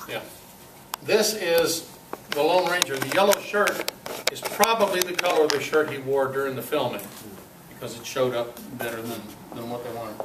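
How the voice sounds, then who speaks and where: A man giving an informal, halting, jokey show-and-tell talk to a club meeting, in a folksy way. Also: medium pitch. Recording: echoey room, distant mic, clear background noise.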